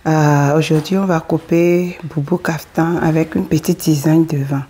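A woman's voice: deep voice, fairly even intonation, measured pace, background noise. A woman with a cold, speaking French as a second language, explaining step by step how to cut and sew a kaftan. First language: not French. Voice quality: husky, gravelly. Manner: plain step-by-step explaining, often asks the viewer if they follow. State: has a cold, hoarse. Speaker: sewing tutor. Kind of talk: casual sewing tutorial. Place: indoors at a table.

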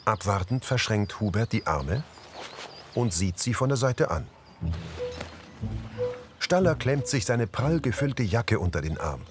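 A male narrator describes the action of a screen drama in scripted present-tense sentences. His voice is low and moves with lively intonation, with music behind it. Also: measured pace, long pauses.

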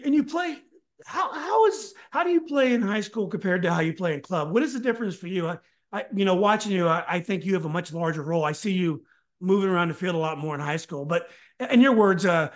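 Middle-aged man hosting, halting questions. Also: high voice. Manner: halting, restarts questions often. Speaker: podcast host. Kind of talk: casual interview over video call. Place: home study with bookshelves. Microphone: desk mic on video call.

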